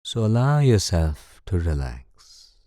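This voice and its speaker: A man leading a guided meditation in a calm, soothing, measured way. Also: low voice, dry recording, clean studio sound. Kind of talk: slow guided meditation narration.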